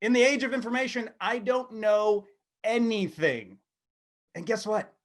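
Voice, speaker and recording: A man in his thirties with a somewhat high voice. He talks like a comedy podcast co-host, wry and self-deprecating, with a halting, casual delivery. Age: 30s. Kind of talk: casual comedic monologue and chat.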